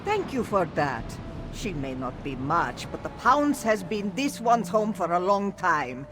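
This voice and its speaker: Woman doing a seasoned cat-folk sailor in a game, reflective, really lively pitch. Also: a little slow, noisy background. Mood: grateful, wistful. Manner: reflective, speaks of self in third person. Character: loyal veteran cat-folk sailor, fond of ship. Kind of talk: scripted video game dialogue.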